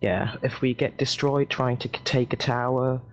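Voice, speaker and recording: A man with an English accent, raised in Germany, talking earnestly and haltingly in a casual voice chat with his gaming guild. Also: mid-range voice, steady tone, highs cut off. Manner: earnest, halting, many restarts. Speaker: guild member. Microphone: headset over voice chat.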